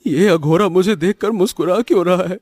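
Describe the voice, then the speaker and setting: A man's voice: high voice, a little fast, darkish tone, husky, no room sound. A man voicing a helpless, honest poor young farmer in a cartoon story, pleading and wailing with stammered repeats. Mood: bewildered. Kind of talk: Hindi cartoon moral story acting.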